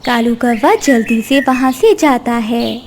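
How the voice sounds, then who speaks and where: A woman's voice: a little high, lively intonation, takes it slowly. A woman storyteller reading a scripted children's story narration. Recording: noisy track with bird sounds.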